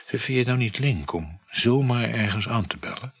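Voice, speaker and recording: An actor playing a reserved, evasive man humouring his lover in a naturalistic radio drama, with a husky voice and dry, hesitant delivery. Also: mid-range voice, lively intonation, normal speed, no room sound. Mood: hesitant, explaining.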